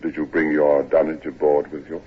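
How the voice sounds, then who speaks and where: An actor playing an old, ailing, morose ship's captain with a British accent, gruff yet kindly, speaking haltingly in a 1950s radio drama. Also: male voice, completely flat delivery. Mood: gruff, dismissive. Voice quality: very deep, husky. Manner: halting, ponderous. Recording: muffled vintage 1950s radio recording.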